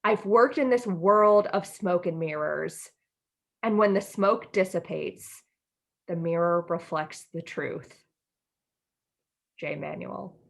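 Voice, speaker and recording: A woman's voice: fairly low pitch, slow, gravelly, long pauses. Giggly American woman chatting casually. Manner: giggly, informal, rambling asides. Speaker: podcast co-host. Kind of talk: casual chat with a friend.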